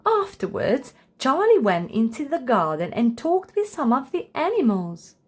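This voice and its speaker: A woman's voice: high voice, big pitch swings, a little slow. A woman storyteller reading a children's book aloud as scripted narration.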